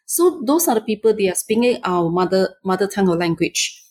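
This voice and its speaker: A woman from Malaysia, a native Mandarin speaker, talking haltingly in a casual interview, often saying "you know". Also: medium pitch, lively intonation, darkish tone, a little hiss or hum. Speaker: guest.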